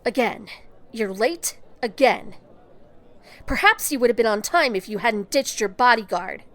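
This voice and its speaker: Younger sister, high female voice, very expressive intonation, scolding, hurt, exasperated, emphatic, audio drama. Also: measured pace.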